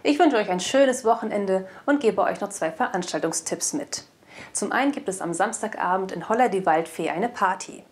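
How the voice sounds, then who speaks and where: A woman's voice: medium pitch, animated, moderate pace, a little hiss or hum. A young adult woman presenting scripted news in a studio. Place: indoor studio. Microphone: lapel mic.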